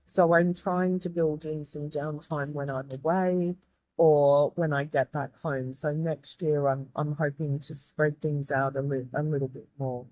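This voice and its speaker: A woman from Australia with a croaky, tired voice, a guest speaking earnestly in an interview with frequent restarts. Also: deep voice, a little mellow, dry recording, low-quality recording. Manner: earnest, grateful, with many restarts. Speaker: guest, medium and tutor. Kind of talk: reflective interview talk.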